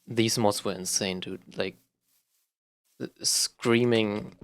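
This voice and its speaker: German-accented young guy, low and monotone, halting casual gaming chat. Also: clear, resonant tone. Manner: rambling, halting, with many fillers and restarts. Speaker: gaming vlogger. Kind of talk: casual unscripted talk while playing a game.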